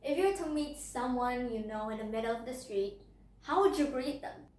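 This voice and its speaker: High-voiced teen girl. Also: normal speed, recorded from a distance. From Indonesia. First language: Hokkien. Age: teenager. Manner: lively, often asks the listener questions. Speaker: student speaker in a speech contest. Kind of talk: prepared persuasive speech to camera. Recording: roomy echo.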